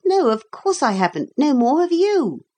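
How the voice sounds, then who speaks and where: A storybook schoolboy, played by a woman narrator, proud and standoffish, with a somewhat raised pitch. He talks in curt, brisk, proper phrases, impatient yet grudgingly noble. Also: very animated, warm tone.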